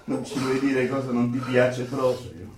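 A man's voice: medium pitch, steady tone, a little slow, noisy background, lightly acted. An actor playing a foul-mouthed, sadistic tormentor in a theatrical radio drama, domineering and mocking as he reels things off. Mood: mock-polite menace. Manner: domineering, mocking, reeling off lists. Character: foul-mouthed, sadistic bullying tormentor forcing a captive to eat. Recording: roomy, distant stage recording with audience.

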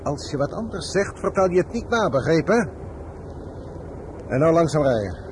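An actor playing a ruthless, bigoted army major in a radio play, curt and commanding. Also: male voice, on the high side, very animated, normal speed, heavy background noise. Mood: menacing.

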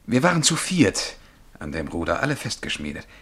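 An actor playing a haunted young man who recounts a dream of being a galley slave, telling it intensely and haltingly in a German radio play. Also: low-pitched, sing-song, a bit bright, breathy edge, full character voice. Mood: haunted, absorbed. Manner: intense, vivid, halting at times. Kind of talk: German radio play acting, dramatic storytelling.

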